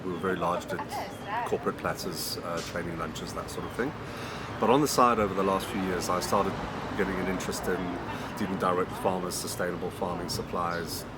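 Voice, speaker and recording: A middle-aged man from Zambia talks in an explanatory interview style. His voice is very low, and loud traffic and urban noise sits behind him. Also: fairly even intonation, a little fast. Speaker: small restaurant owner.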